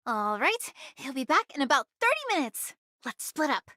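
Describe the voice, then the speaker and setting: A woman voicing a bold, mischievous young girl in an anime video game, with a perky, expressive delivery. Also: high voice, normal speed, no echo, studio quality, heavily acted. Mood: confident, casual.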